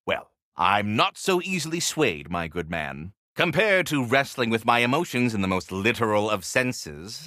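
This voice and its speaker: A man's voice: medium pitch, bright, forceful tone, no echo, studio quality, heavily acted. A man voicing a polite, fussy magical squirrel from a video game, speaking in courtly, wordy, butler-like formality. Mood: haughty, restrained. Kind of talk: theatrical video game character dialogue.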